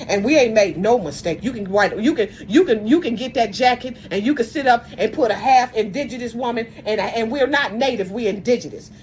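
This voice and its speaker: A woman's voice: fairly high pitch, lively intonation, a little fast, few pauses, a little mellow. A woman speaking straight to the camera in an angry rant, blunt and confrontational, often stumbling and restarting mid-sentence. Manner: says she has a strong voice. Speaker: video commentator.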